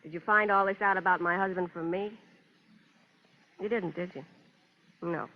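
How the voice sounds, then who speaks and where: Actress as an intense, brittle radio-drama widow. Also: female voice, on the low side, fairly even intonation, normal speed, close to an everyday voice. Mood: bitter, defiant. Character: distraught, defiant wife of a murdered gambler. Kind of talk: old-time radio melodrama acting. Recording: muffled, noisy vintage radio recording.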